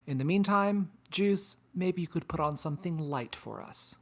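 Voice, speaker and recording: A woman playing a sensible, exasperated space probe who calms and chides the others in an audio drama table read. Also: lowish voice, moderate pace. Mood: reassuring. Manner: calming, chiding.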